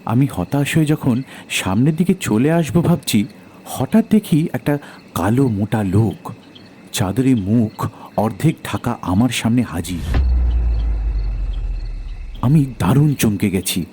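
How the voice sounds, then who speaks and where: A man's voice: mid-range voice, expressive, slow, long pauses, mellow, slight background noise. A man narrating a first-person horror audio story in a dramatic storytelling style.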